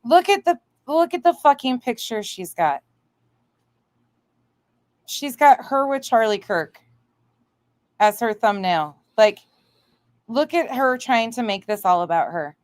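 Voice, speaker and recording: A woman's voice: a little high, sing-song, slow, pauses often, no room sound. A woman hosting a livestream, reacting and chatting to viewers in a blunt, indignant, sweary way. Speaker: livestream host.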